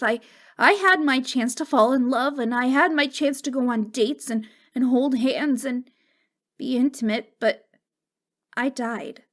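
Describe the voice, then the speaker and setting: High, slow-paced wistful ghost girl, acted by a woman. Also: a bit bright, exaggerated voice. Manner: halting, stammering, emotionally fragile. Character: wistful, wounded ghost girl, long dead since wartime. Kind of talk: ASMR roleplay, intimate dramatic monologue.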